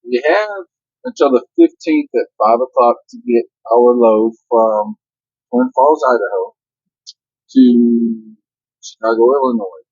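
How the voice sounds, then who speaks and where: A man's voice: mid-range voice, takes it slowly, darkish tone, slightly rough, no echo, muffled recording. An older man who drives a truck, chatting casually and giving matter-of-fact safety advice. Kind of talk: casual vlog chat.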